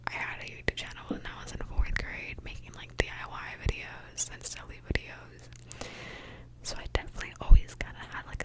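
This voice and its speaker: Soft-whispering young woman from Mexico. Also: rough recording. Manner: whispering softly, chatty and candid. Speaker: ASMR creator. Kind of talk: whispered ASMR Q&A.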